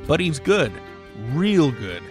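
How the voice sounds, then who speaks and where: A man giving an enthusiastic, conversational and dramatic promotional pitch as an audio producer. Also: sing-song, slow. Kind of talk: enthusiastic crowdfunding promo narration. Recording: music bed behind voice. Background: background music.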